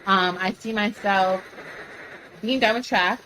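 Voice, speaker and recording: A woman's voice: medium pitch, slow pace, pushed and bright, a little hiss or hum, rough recording. A woman in her twenties, a track athlete and sports reporter, talking earnestly and with slang as a guest on a casual podcast. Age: 20s.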